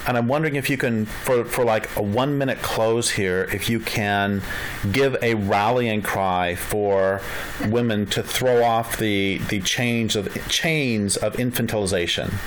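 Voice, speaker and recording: A man with a lowish voice hosts a radio interview. He asks his questions in a measured, slightly halting way. A faint hiss of noise runs behind him. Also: poor audio. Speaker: radio host.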